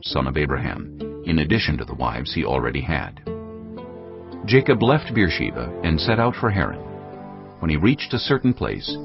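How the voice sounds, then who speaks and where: Very deep, gravelly male narrator. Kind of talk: solemn scripted scripture narration. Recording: dull sound, music clearly behind.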